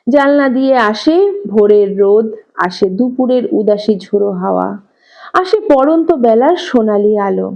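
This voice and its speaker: A woman narrating a literary story with expressive reading, on a slightly muffled recording. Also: mid-range voice, gravelly. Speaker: audiobook narrator.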